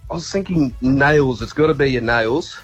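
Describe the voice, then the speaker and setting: Slow, husky Australian male radio caller. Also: medium pitch, dull audio, soft background music. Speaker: radio quiz contestant calling in. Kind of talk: casual chat.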